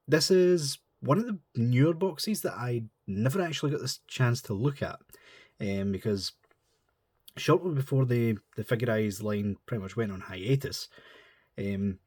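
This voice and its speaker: A man's voice: medium pitch, animated, measured pace, darkish tone, breathy edge, dry recording, clean studio sound. A man with a stuffy nose from a cold, chatting in a rambling, informal way as he reviews a model kit. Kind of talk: casual chat.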